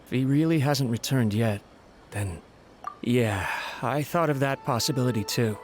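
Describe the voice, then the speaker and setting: An actor playing a worried, deferential young servant of a noble clan in a video game, hesitant and apologetic. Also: male voice, mid-range voice, moderate pace, a little mellow, slight background noise, close to an everyday voice.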